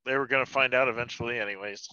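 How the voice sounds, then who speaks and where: A man's voice: medium pitch, fairly even intonation, very fast, sharp tone, smooth. A man chatting casually as a tabletop roleplaying player, heard through dull, lo-fi audio.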